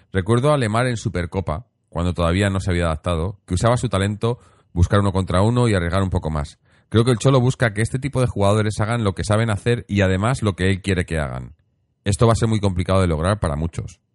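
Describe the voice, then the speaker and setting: A man's voice: sits low, a little mellow, dry recording, studio quality. A man hosting a podcast, reading out listener comments and introducing audio messages informally. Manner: informal, lots of fillers, addresses listeners. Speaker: podcast host.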